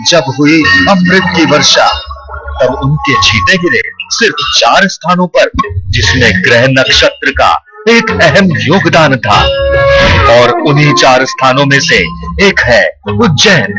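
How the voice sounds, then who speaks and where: A man's voice: a little high, expressive, unhurried, low-quality recording. A man reading a scripted TV promo voice-over in a formal, declamatory way. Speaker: TV promo announcer. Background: loud music.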